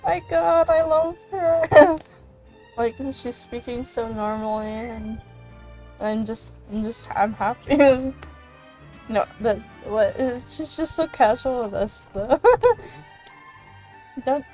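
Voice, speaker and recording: A woman's voice: a little high, takes it slowly, long pauses, warm tone, low-quality recording. A young woman reacting casually to a video game as a streamer, giggly and gushing. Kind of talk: casual let's play reaction, reading lines aloud. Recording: game music behind the voice. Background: game music.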